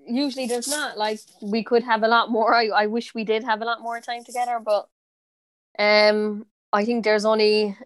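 A woman in her twenties with a strong Cork accent, answering interview questions in a relaxed way with plenty of fillers. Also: mid-range voice, dry recording. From Ireland. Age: 20s. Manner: relaxed, many fillers and restarts. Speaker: interviewee, footballer. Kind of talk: casual interview.

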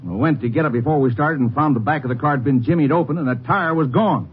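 A man voices an indignant, folksy businessman in a 1950s radio drama, talking quickly and plainly burned up. The sound is muffled and lo-fi, like an old broadcast with a faint hiss underneath. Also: on the high side, natural voice. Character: irate traveling businessman, middle-aged.

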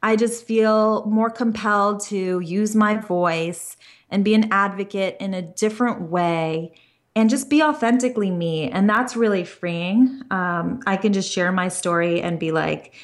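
A young woman speaks as an interview guest, earnest and open about her plans. Her voice sits at an ordinary middle pitch, and she talks in long runs, often circling back with small restarts. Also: unhurried. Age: young adult. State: pregnant. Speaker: career coach, podcast guest. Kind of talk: interview conversation.

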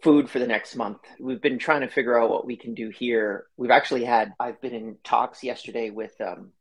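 Man, husky voice, chatty, quick talker, laughs easily, remote interview. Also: medium pitch, fairly even intonation, rough recording. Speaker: podcast guest, company founder. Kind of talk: casual interview talk.

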